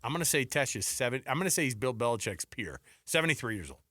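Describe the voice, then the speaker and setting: A man's voice: mid-range voice, sing-song, fast talker, bright, forceful tone, dry recording, clean studio sound. A man in his forties or older hosting a sports talk show, bantering casually and repeating himself for emphasis. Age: 40s or older. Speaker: sports radio show host.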